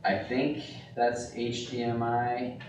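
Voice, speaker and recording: A man chatting casually in a halting, hesitant way as he helps set up a meeting. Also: medium pitch, completely flat delivery. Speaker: county board meeting participant setting up the video call. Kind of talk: casual chat before a meeting. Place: meeting room. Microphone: distant room microphone. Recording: roomy, echoey, noisy distant room microphone.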